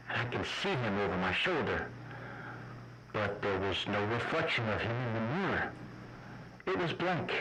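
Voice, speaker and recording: A man playing an uneasy traveller who narrates his captivity in a radio drama, with deliberate, suspenseful phrasing. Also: on the low side, sharp tone, a little hiss or hum, low-quality recording.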